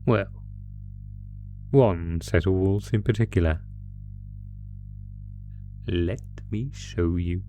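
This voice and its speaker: A man's voice: deep voice, slow pace, long pauses, warm tone, faint music behind. A man voicing an ancient, possessive monster captor in a horror roleplay, gloating with menacing, mock-tender teasing. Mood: sinister delight. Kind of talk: horror roleplay audio acting.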